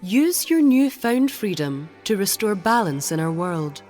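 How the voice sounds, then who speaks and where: A woman playing a stern, commanding mother goddess in an audio drama, speaking in a formal, imperious, measured way. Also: medium pitch, very animated, darkish tone, soft background music.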